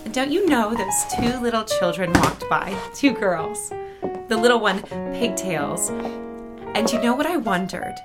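A prim secretary played by an actress with a middle-pitched woman's voice. She is solicitous and meddling, quick to get flustered, in period audio drama style. Loud music runs behind her. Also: expressive, close to an everyday voice. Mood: solicitous, chatty. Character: fussy, meddling, prim female secretary and minder.

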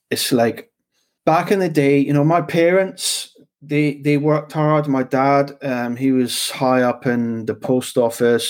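A man's voice: mid-range voice, darkish tone. A man with a Scottish accent who talks loosely in a casual conversation as the host, often asking "do you know what I mean".

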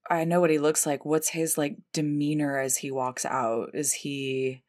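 Low-voiced woman playing a tabletop game. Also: flat delivery, a little slow, a little mellow. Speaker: player in an actual-play roleplaying show. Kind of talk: casual improvised tabletop game chat.

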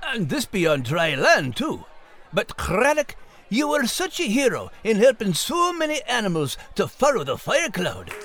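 A folksy, excitable Irish animal hosting in a comic audio drama. The delivery leaps up and down in pitch, with a light crowd behind. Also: male voice, a little slow, heavily acted. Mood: puzzled, chatty. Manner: folksy, literal-minded. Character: excitable, literal-minded male animal party host. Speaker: co-host.

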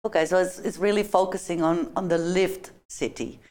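A woman's voice: fairly low pitch, fairly even intonation, moderate pace, a little mellow. Woman moderator asking questions. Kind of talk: moderating a panel talk.

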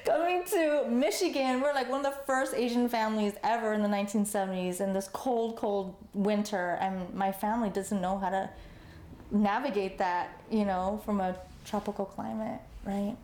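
Mellow-voiced woman telling her story. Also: medium pitch, fairly even intonation, normal speed, reverberant. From Vietnam. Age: 40s. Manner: storytelling, frequent "you know" and "right?". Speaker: artist guest. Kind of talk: conversational interview.

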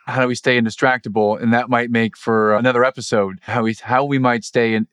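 A man's voice: medium pitch, level tone, a little fast, a bit bright, clean tone, no room sound, clean studio sound. A man hosting a podcast interview, wrapping up with appreciative words for his guest. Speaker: podcast host and coach. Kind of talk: casual interview talk.

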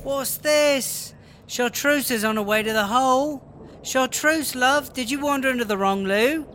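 A woman's voice: high-pitched, a little slow, a bit bright, soft background music. A woman playing a sly, mock-sweet female prison inmate in a comedy audio drama. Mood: mock innocence.

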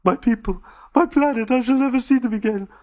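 A man voicing a frightened male alien prisoner who pleads and begs in a strained, high, thin voice. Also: fairly quick, dark tone. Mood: pleading. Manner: desperate, begging.

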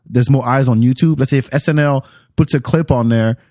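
A man's voice: medium pitch, brisk, warm tone, dry recording, studio quality. A man hosting a podcast in casual chat, opinionated and slangy, swearing freely. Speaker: podcast host.

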